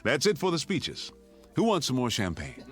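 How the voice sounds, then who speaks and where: Animated American father, level-headed and commanding. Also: medium pitch, normal speed, music in the background. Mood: jovial. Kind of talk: radio drama acting.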